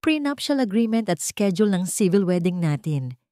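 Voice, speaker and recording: A woman's voice: medium pitch, big pitch swings, moderate pace, warm tone, no echo, lightly acted. A woman narrator plays a spoiled young rich man in a romance drama. He is cold, curt and dismissive, speaking with bitterness in a dry recording. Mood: cold, bitter.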